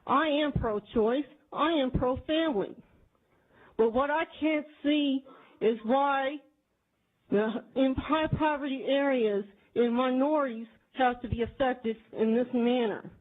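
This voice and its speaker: A woman in her forties with a Houston accent speaks in a high, insistent voice. She testifies at a committee hearing with indignant, repetitive emphasis. The sound is narrow and tinny, as though it came over a phone line. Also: measured pace. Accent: Texan. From Houston, Texas. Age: 40s. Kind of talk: public testimony. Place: legislative committee hearing room. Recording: tinny, phone-like archive audio.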